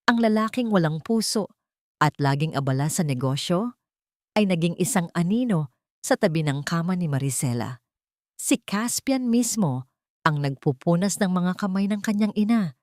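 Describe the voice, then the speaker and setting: A woman's voice: sits low, no echo, clean studio sound. A woman reading a scripted dramatic story as its narrator.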